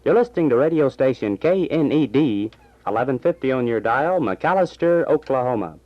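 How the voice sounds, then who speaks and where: A man reading scripted news and announcements as a 1960s radio newsreader, formal and measured. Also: mid-range voice, expressive. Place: radio station studio. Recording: dull lo-fi vintage AM radio recording.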